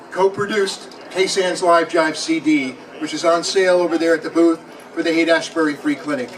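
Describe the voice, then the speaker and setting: A man's voice: fairly high pitch, fairly bright tone. A man in his sixties, a former radio DJ, telling an anecdote to an event audience. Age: 60s. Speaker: former radio DJ, panel guest. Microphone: event microphone. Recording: distant event mic, faint noise.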